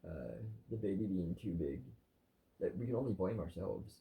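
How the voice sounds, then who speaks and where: A man speaks in a lowish voice with a very dark, relaxed tone. There is clear fry in it. He chats casually, agreeable but blunt and plainspoken. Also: normal speed, poor audio. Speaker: vlogger's husband, devotional reader. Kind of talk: casual religious chat.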